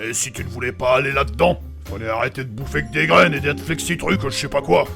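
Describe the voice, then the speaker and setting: A man playing a gruff, mocking brawler in a comic fantasy audio drama, blunt and vulgar, with a gravelly voice. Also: mid-range voice, steady tone, quick pace, bright, forceful tone. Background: music.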